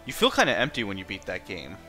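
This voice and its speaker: A man's voice: lowish voice, wildly swooping pitch, quick pace, bright, forceful tone. A man giving rambling, informal playthrough commentary as a game streamer, with game music behind him.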